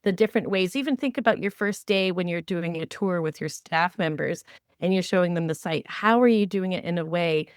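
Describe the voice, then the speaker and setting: A woman hosting a podcast, talking conversationally and explaining with animated examples. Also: on the low side, brisk, clean tone, dry recording, studio quality. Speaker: podcast host. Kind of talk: conversational training discussion.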